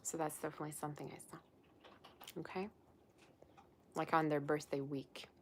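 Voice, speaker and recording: A woman's voice: deep voice, flat delivery, moderate pace, slight background noise. A young woman, a psychic tarot reader, chatting casually on a livestream and reading viewer comments aloud. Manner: chatty and rambling, with many "like" fillers.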